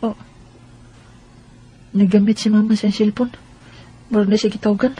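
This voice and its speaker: A woman's voice: mid-range voice, flat delivery, normal speed, warm tone, slightly rough, poor audio. A woman playing a naive, worried child who asks plaintive questions in a radio drama, with a Bisaya Filipino accent. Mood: confiding. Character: naive, worried child confiding family secrets.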